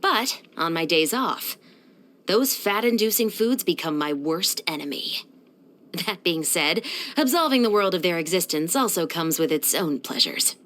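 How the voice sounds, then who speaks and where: Low-voiced, composed female patrol captain. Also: lively intonation, unhurried, fairly bright tone. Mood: matter-of-fact. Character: stern, dutiful young female patrol captain, principled and brisk. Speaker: video game character voice actor. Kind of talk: scripted video game character voice lines.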